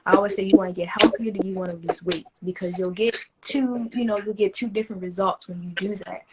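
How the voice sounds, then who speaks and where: A woman's voice: medium pitch, fast talker, very noisy. Chatty woman coach, loose and rambling. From not from the Caribbean. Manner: relaxed, loose and chatty, full of "you know" fillers. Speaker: fitness coach hosting a live stream. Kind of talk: casual live-stream chat.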